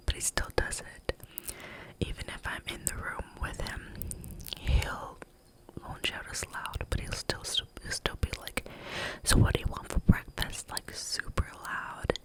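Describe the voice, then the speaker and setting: A young adult whispering a rambling story in a casual way, full of fillers like "like" and "um". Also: poor audio. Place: staying on a chocolate farm in Hawaii.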